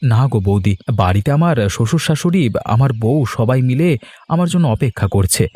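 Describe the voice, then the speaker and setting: Mellow-voiced man in a cartoon. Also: mid-range voice, animated, normal speed, breathy edge. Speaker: husband, nephew in the cartoon. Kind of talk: Bengali cartoon voice acting.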